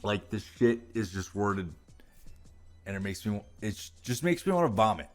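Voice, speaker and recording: A man's voice: on the low side, very animated, moderate pace, soft background music. An American man reading aloud and ranting casually about it, with profane, exasperated and sarcastic commentary. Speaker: political commentary vlogger.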